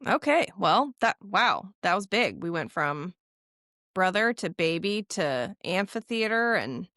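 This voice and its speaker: A woman co-hosting a podcast, chatting casually in an animated, teasing way with quick jokes. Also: mid-range voice, dry recording, clean studio sound. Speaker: podcast co-host. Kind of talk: casual chat.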